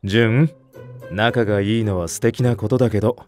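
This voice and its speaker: An actor playing a calm, kindly veteran male nursery teacher with a soothing, mature manner in an anime drama. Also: lowish voice, fairly quick, soft background music, close to an everyday voice.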